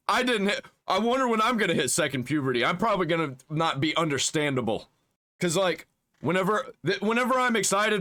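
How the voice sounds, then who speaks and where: Casting note: male reaction host, mocking and profane, a bit high-pitched, dips into a put-on low voice. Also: a bit bright. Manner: mocking, swings between a squeaky high register and a put-on deep voice; swears freely, reads search results aloud. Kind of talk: casual reaction commentary.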